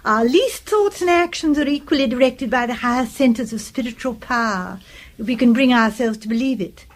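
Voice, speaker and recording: An actress playing an earnest, gullible British spinster nurse-companion in a radio drama, pious and gushing in manner. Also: female voice, on the high side, moderate pace, lightly acted. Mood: earnest, devout.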